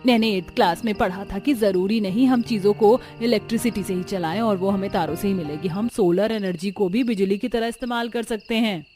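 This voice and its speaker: A woman voicing earnest, plaintive village housewives and mothers-in-law in a cartoon story, with an expressive, emphatic delivery. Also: mid-range voice, brisk, few pauses, soft background music, lightly acted. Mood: earnest explaining.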